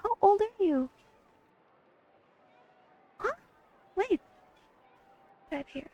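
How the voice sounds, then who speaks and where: A woman giving casual gameplay commentary, thinking aloud in a halting, questioning way as she reacts to a story. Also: very high voice, lively intonation, dark tone, dry recording, muffled recording. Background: faint game music.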